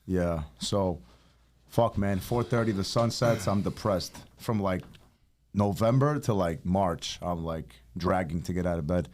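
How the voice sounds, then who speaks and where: A man's voice: low voice, unhurried, a little mellow. A young man from New York hosting a casual podcast, laid-back and rambling, swearing freely as he chats. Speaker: podcast host.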